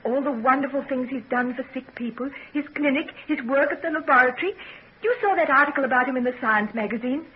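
An actress playing a loyal, loving young fiancée in a 1940s radio drama, with an earnest, emotional delivery. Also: female voice, high voice, fast, close to an everyday voice. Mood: defensive, pleading. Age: young adult. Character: loyal, loving young woman engaged to be married. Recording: dull, noisy 1940s radio recording.

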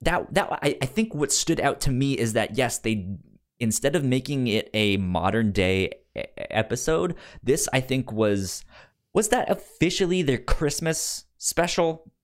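Animated man in loose, casual podcast chat. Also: medium pitch. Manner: halting, repeats words while thinking aloud. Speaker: podcast co-host. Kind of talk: casual unscripted chat about a TV show.

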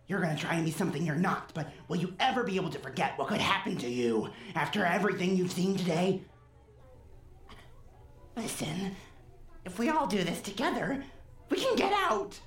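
A woman's voice: on the low side, expressive, a little fast, lightly acted. A woman acting in an audio drama as an intense, persuasive captive child, speaking earnestly and urgently. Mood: insistent, urgent. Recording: roomy, echoing student audio drama.

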